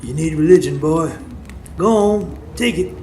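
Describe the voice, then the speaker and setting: A man's voice: a little high, unhurried, mellow, close to an everyday voice. A man playing a wise, stern old folk healer in a live radio drama, terse and commanding as he instructs. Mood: firm, guiding. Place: stage before a live studio audience. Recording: live stage recording with background noise.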